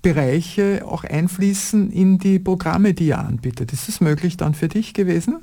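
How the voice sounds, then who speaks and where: Interviewer, hesitant questions with many fillers, dark mellow voice. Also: medium pitch, normal speed.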